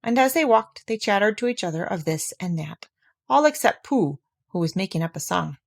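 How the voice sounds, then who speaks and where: A woman reading a children's storybook aloud at an easy pace. Her narration is gentle and even, letting the story carry itself. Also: medium pitch, normal speed, creaky. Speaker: reader.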